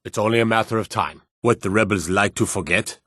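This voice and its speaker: A man playing a stern soldier guard in a fantasy war video game, with a gruff, commanding manner. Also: on the low side, fairly quick, fairly bright tone, dry recording, clean studio sound. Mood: steady, resolute. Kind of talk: scripted fantasy game dialogue barks.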